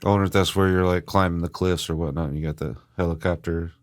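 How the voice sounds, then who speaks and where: A man's voice: low-pitched, steady tone, fast. A man co-hosting a video game podcast, chatting casually in a terse, matter-of-fact way with offhand swearing. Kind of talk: casual chat about video games.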